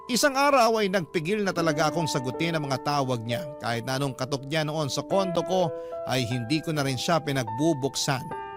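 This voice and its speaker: A man reading scripted first-person narration as the storyteller of a Filipino radio drama. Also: fairly high pitch.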